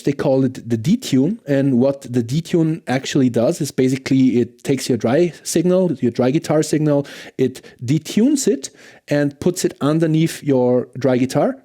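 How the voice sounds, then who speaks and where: A man with a fairly high-set voice and a soft, mellow tone presents a casual gear review. He talks conversationally, like a host walking viewers through a demonstration, and often tags his points with a questioning "right?".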